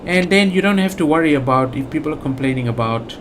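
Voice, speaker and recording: A man's voice: on the high side, lively intonation, fast talker, noisy background. A man with a South African accent giving casual let's play commentary, thinking aloud with lots of fillers and restarts. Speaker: gaming channel host.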